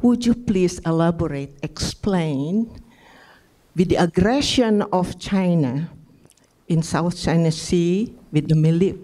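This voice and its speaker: This is a woman with an Indonesian accent, asking a question from the floor in a soft, mellow voice. She speaks politely and formally, pausing with "eh" and "em" as she goes. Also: fairly low pitch. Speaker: audience member asking a question.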